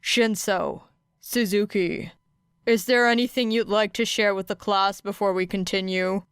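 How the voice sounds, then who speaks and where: A woman voicing a stern, weary male teacher in an audiobook, with a curt, deadpan manner. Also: mid-range voice, a little slow, smooth, no echo, studio quality, close to an everyday voice. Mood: dry, curt.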